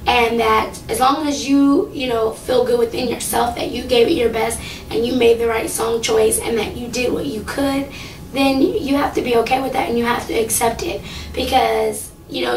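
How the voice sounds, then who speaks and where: A young woman rambles through a casual talk to camera, full of fillers. Her voice sits fairly high for a woman but carries a light rasp. It was recorded a little way off the mic, in an echoey room. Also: distant mic, a little hiss or hum. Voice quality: low, slightly raspy. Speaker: singing contestant.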